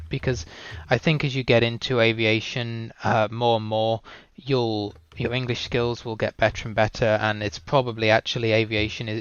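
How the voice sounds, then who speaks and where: A man's voice: on the low side, smooth, no room sound, dull audio. A man hosting a casual online round table, speaking in a friendly, affirming, rambling way.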